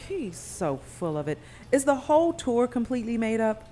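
An exasperated, sarcastic daughter in a naturalistic audio drama. She talks bluntly and casually in a highish, very lively voice, with clear room noise behind her. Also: moderate pace. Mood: sarcastic, startled. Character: exasperated, sarcastic daughter living in an old house.

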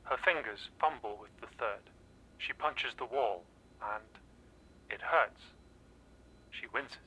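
Tense, sharp-voiced watchful narrator. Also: male voice, mid-range voice, slow pace, breathy edge, heavily acted, rough recording. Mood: hushed, somber. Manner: clipped, rhythmic short phrases. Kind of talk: dramatic present-tense narration, audio drama.

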